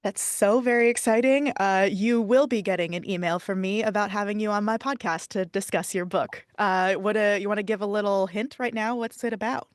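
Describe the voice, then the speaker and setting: A woman's voice: medium pitch, fairly quick, few pauses, no echo, clean studio sound. Upbeat woman moderating a panel. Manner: upbeat, friendly, laughs easily. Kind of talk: live online panel moderation.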